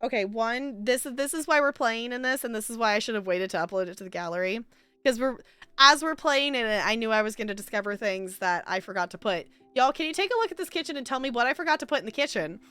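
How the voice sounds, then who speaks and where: A woman's voice: on the high side, animated, quick pace, sharp tone, clear, resonant tone, no echo, faint music behind. A woman streaming a life simulation game with casual, rambling commentary, chatting to viewers and to the game's characters.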